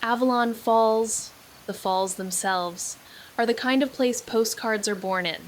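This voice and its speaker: An actress playing a sharp young woman investigator who narrates in a wry, clipped way. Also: fairly high pitch, a little slow, a little hiss or hum, natural voice. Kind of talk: audio drama acting and narration.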